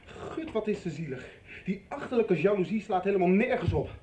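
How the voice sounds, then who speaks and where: A bright-voiced headstrong schoolboy. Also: male voice, sits high, lively intonation, fairly quick. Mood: angry. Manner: blunt, exasperated. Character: headstrong, indignant young schoolboy boyfriend. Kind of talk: 1990s radio soap acting. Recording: roomy, echoing sound.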